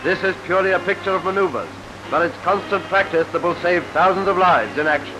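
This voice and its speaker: High-pitched British 1940s newsreel man. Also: brisk, rough recording. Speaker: newsreel narrator. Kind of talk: 1940s wartime newsreel commentary, scripted. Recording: vintage 1940s newsreel film soundtrack. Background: loud newsreel music.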